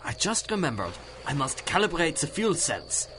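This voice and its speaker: An actor playing a nervous, guilt-ridden rocket scientist caught up with villains in a radio drama. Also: male voice, on the high side, sing-song, moderate pace, noisy background. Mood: nervous resolve. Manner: nervous, hesitant. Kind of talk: 1930s-style radio drama acting.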